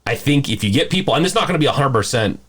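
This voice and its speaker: A man's voice: medium pitch, lively intonation, very fast, a bit bright, breathy edge. A man co-hosting a casual podcast, thinking aloud in a loose, rambling way as he gives opinions. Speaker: podcast host.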